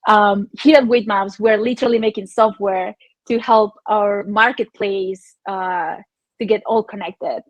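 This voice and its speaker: A woman's voice: medium pitch, no room sound. A woman from Mexico with a Mexican accent, explaining her work informally as a panelist. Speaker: panelist, software engineer. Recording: dry, slightly dull call audio.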